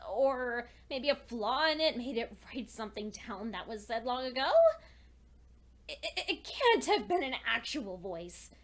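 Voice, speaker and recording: A woman voices a young female pony, high in pitch and slow in pace. She is lonely and frightened, keeping a journal in a horror tale. Her delivery is anxious, halting and intensely emotional. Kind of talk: dramatic first-person horror fan fiction reading.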